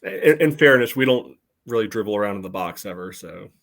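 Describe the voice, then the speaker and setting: Quick talking guy, lowish voice, chatting sports on a podcast, matter of fact. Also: expressive, gravelly. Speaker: podcast co-host. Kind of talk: casual sports chat.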